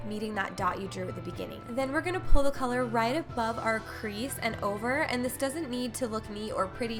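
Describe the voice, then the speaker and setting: A young woman with a congested, stuffy voice from a cold, chatting upbeat through a makeup tutorial as a voice-over. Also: on the high side. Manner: chatty, upbeat product walkthrough. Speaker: beauty vlogger. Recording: faint music underneath.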